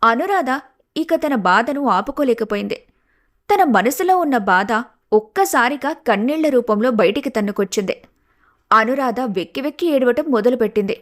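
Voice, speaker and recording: Slightly high-pitched woman narrating a story. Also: lively intonation, moderate pace.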